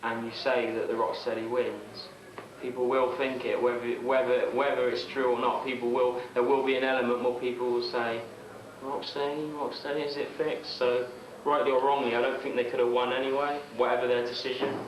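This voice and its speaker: A man in a casual interview, talking in a measured, reasoning way. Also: medium pitch, level tone, roomy sound, a crowd in the background, poor audio.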